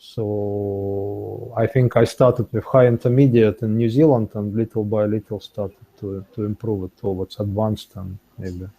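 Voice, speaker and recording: Guy, soft mellow tone, casual interview chat, stops and repeats himself a lot. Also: lowish voice, flat delivery, unhurried, vocal fry, poor audio. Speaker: interview guest, English learner.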